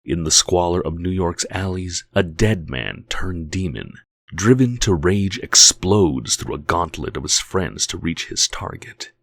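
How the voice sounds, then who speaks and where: A man playing the grim narrator of a dark comic, reading dramatic scripted narration. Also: low-pitched, animated, a little slow, creaky, no echo, heavily acted.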